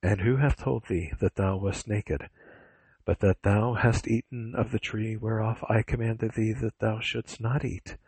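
A stern, commanding God voiced by a man in a scripture drama. The voice is deep and slightly husky. Each sentence is solemn, deliberate and authoritative, recorded dry. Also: steady tone, darkish tone, dry recording, low-quality recording. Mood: stern questioning.

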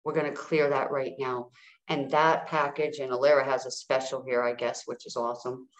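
A woman's voice: a bass voice, level tone, normal speed, echoey room, rough recording. A woman guest coaching listeners in a soothing way, often checking in with "all right?". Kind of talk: guided healing talk.

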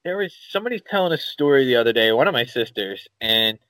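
A man with a Philadelphia accent, co-hosting a podcast in casual chat, telling rambling, animated stories and swearing casually. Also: medium pitch, quick pace, no echo, low-quality recording. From Philadelphia area, USA. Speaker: podcast co-host.

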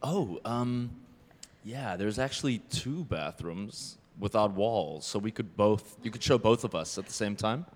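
A man's voice: lowish voice, expressive, unhurried. A man playing a creepy, sleazy, flirtatious serial killer in improvised comedy. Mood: flustered flirting. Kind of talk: improvised comedy parody of radio play.

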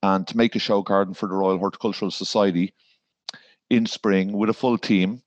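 A man's voice: fairly low pitch, fairly even intonation, brisk, no echo, muffled recording. An Irish man, a garden designer, talking in a podcast interview and rambling with fillers and restarts. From Ireland.

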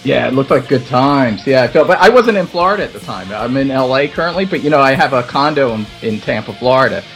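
A man from the Philadelphia suburbs hosts a loose chat, teasing the others and laughing often. His voice swings widely in pitch, and faint music runs underneath. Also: animated, quick pace. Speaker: podcast host. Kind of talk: casual chat.

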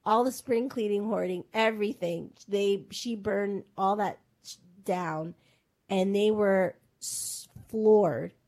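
A woman's voice: medium pitch, slow, a little mellow. Rambling, chatty woman recapping a show. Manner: rambling storytelling full of "like" and "um". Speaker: podcast co-host. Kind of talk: casual pop-culture chat.

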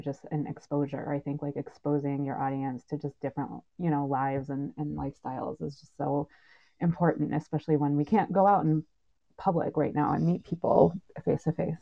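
A woman's voice: low voice, fairly even intonation, moderate pace, highs cut off. A woman moderating a panel in a casual, conversational interviewing style over thin call audio.

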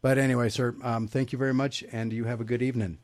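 A man hosting a talk radio show, asking his guest questions in a casual, halting way with lots of fillers. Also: mid-range voice, level tone, a little mellow, no room sound.

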